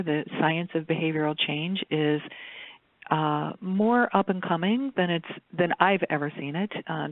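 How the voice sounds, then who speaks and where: A woman speaking in a measured, appreciative way as a panelist on a conference call. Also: lowish voice, no room sound. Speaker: charitable fund director, panelist. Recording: dry, muffled phone conference line.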